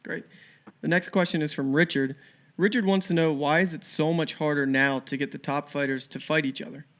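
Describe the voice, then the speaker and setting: A man's voice: on the high side, fairly even intonation, a little fast, a bit bright. A man co-hosting a podcast, reading out listener questions in a conversational way.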